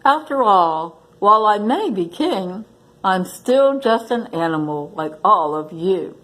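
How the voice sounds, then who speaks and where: A woman's voice: mid-range voice, animated, slow, a bit bright, rough recording. A woman voicing a kind, humble lion king in a storybook, reassuring and earnest. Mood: touched, humble.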